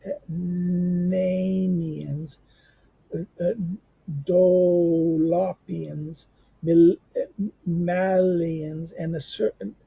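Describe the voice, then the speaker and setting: A hoarse man reads a history book aloud as a teacher would, stopping often to hesitate and start words over. His voice sits fairly high but sounds dark and gravelly, with a rough, raspy edge. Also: little pitch movement, very slow. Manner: hesitant, many fillers and restarts. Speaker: teacher reading a classic text aloud. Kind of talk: halting read-aloud with commentary.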